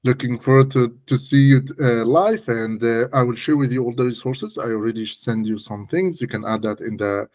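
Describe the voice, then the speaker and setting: A man's voice: mid-range voice, moderate pace, hardly any pauses, a little mellow. A man speaking as a guest expert, giving casual, emphatic, step-by-step technical explanations in conversation. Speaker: guest malware analysis expert.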